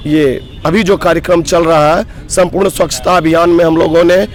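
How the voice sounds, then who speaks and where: A hoarse man in his thirties, a block coordinator at a roadside protest, speaking earnestly and with rising grievance in a street interview. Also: on the high side, steady tone, moderate pace. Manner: aggrieved, earnest, builds to emphatic outbursts. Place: roadside protest sit-in outdoors.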